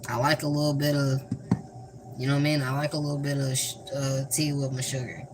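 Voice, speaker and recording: A young man with a Southern US accent casually talking through a cooking vlog in a flat, monotone, deadpan way, rambling and joking. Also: mid-range voice, brisk. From American South. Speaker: cooking vlogger. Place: home kitchen. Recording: noisy home recording.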